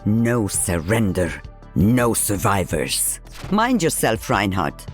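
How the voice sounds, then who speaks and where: A woman's voice: extremely low pitch, big pitch swings, slow pace, heavily acted. A woman playing a cold, commanding older sniper villain in a video game, menacing and imperious. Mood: cold menace. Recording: music behind the voice.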